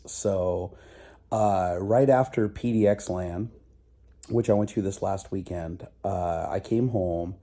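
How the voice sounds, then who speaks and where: Low, dark-voiced man, a bit hoarse. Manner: rambling, self-mocking, loud. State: slightly rough, recovering voice; stuffy nose. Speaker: vlogger. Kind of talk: casual video diary.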